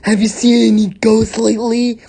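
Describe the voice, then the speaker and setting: A woman's voice: fairly high pitch, level tone, moderate pace, gravelly, warm tone, slight background noise, pitched above the performer's own voice. A woman voicing a spiteful schoolgirl bully in a dramatic story reading, with exaggerated, sneering delivery. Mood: mocking, spiteful.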